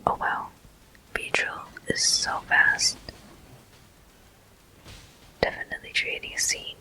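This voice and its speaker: A person whispering ASMR game commentary as a walkthrough host, in slow, unhurried phrases with pauses, close to the microphone. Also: sharp tone, low-quality recording.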